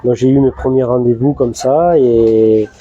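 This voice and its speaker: A man with a southern French accent, a tattoo artist answering interview questions in a halting, casual way. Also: mid-range voice, steady tone, warm tone, dry recording, highs cut off. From southern France. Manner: halting, many restarts and fillers. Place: tattoo convention hall.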